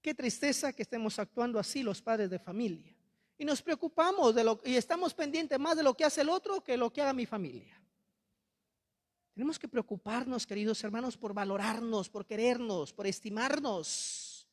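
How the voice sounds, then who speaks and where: A man's voice: very high voice, normal speed. A young Peruvian priest with a Peruvian accent preaching a sermon in a large church, holding a handheld mic, impassioned and reproachful, repeating his phrases. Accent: Peruvian Spanish. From Peru. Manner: impassioned, rhetorical repetition, reproachful. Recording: long church reverb.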